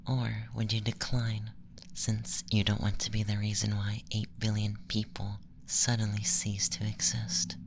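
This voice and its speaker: A performer voicing an aloof, teasing alien femboy interrogator in a slow, deliberate, soft ASMR whisper. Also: medium pitch, completely flat delivery, background noise, natural voice. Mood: clinical, measured.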